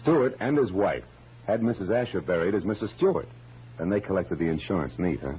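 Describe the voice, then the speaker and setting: Wisecracking private detective, 1940s radio. Low, animated, sardonic, on thin vintage broadcast sound. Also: male voice, brisk, slight background noise. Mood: wry, explaining. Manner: wry, sardonic, slangy. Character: wisecracking hard-boiled male private detective. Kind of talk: 1940s radio detective drama acting and narration. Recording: tinny, band-limited old radio recording.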